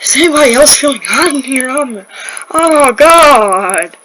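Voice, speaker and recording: Female weather broadcaster struggling in deadly heat played by a woman: slow, faltering, higher-pitched, amateur disaster drama. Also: expressive, fairly bright tone, low-quality recording. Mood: uneasy, queasy. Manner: halting, stammering delivery.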